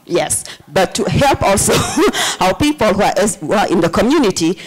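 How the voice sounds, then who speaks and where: A woman's voice: mid-range voice, moderate pace, breathy edge, poor audio. A woman in her forties from Senegal, with a Senegalese accent, speaking passionately during public comment at a community meeting. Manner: passionate, animated, laughs often.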